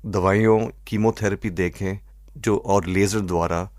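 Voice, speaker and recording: A man with an Indian accent answering as an expert doctor in an interview, measured and methodical, mixing in English phrases. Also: low voice, steady tone, a little mellow, no room sound, clean studio sound. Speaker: eye doctor, expert guest.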